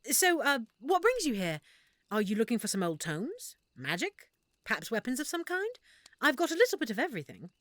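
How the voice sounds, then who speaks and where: A woman voicing a shy, flustered half-elf shopkeeper who stammers softly and nervously. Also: fairly high pitch, huge pitch swings, brisk, dry recording, clean studio sound. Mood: guarded. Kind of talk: audio roleplay acting.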